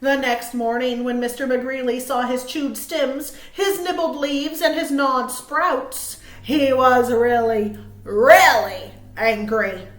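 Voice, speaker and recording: High-pitched woman reading slowly to children. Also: echoey room. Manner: expressive, asks listeners questions, dramatic emphasis. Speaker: children's librarian reading at a virtual storytime. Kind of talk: animated read-aloud to young children.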